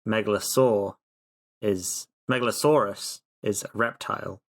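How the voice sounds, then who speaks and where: A man's voice: on the low side, measured pace. A man chatting casually as a player on a tabletop role-playing podcast, with playful, theatrical phrasing. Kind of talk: casual chat and recapping.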